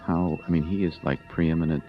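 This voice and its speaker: A man's voice: a bass voice, fairly even intonation, brisk, faint music behind. A man asking interview questions in a hesitant way. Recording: distant, dull off-mic sound.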